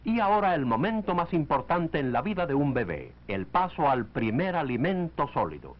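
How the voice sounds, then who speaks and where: A man reading scripted narration for a 1940s educational film, in a measured, didactic way. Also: a little high, sing-song. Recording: dull lo-fi 1940s film soundtrack.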